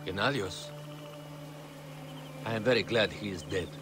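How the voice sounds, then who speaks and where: Actor as low-voiced courtly Egyptian royal adviser. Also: male voice, music in the background, close to an everyday voice. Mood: grave, formal. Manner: formal, measured, deliberate. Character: composed, courtly male royal adviser plotting in ancient Egypt. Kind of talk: dramatic video game acting.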